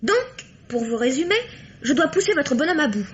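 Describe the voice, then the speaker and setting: Breezy, confident young niece, high animated voice, muffled vintage radio sound. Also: female voice, a little fast, soft background music. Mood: breezy, plucky. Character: plucky, amused young niece playing decoy. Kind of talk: vintage French radio mystery acting. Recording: echoey, muffled old radio recording.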